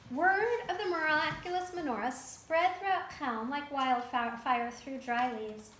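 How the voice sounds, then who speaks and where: A woman reading a folktale aloud to a child, lively and stopping to ask the listener questions. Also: high voice, a little slow, roomy sound, a little hiss or hum. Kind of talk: reading a picture book to a child.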